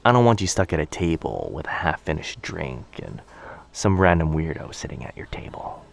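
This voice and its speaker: A man playing a shy, bookish, gentle young stranger in an intimate boyfriend ASMR roleplay, speaking softly, breathily and hesitantly. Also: very deep voice, sing-song, moderate pace, full character voice. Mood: anxious, apologetic. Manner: hesitant, soft-spoken, breathy, self-effacing.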